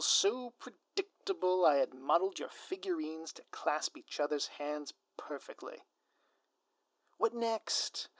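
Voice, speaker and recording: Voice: medium pitch, fairly bright tone, no echo. A performer voicing a scheming, smug ex-supervillain spouse in a scripted audio roleplay, with a theatrical, sardonic, grandiloquent manner. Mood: mocking, scornful. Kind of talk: scripted audio roleplay monologue addressed to listener.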